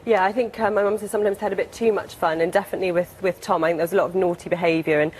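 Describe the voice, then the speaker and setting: A woman in her twenties with a British accent gives casual interview answers. Her voice sits a little low, and she softens her statements as she talks. There is faint ambient noise behind her. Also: fairly even intonation, very fast, low-quality recording. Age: 20s. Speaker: interview guest.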